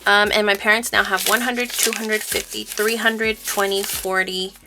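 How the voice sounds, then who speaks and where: A woman vlogging about budgeting in casual, informal chat, speaking in a halting, stop-and-start way. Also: mid-range voice, level tone, background noise. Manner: informal, halting storytelling interleaved with counting. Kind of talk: casual chat while counting cash aloud.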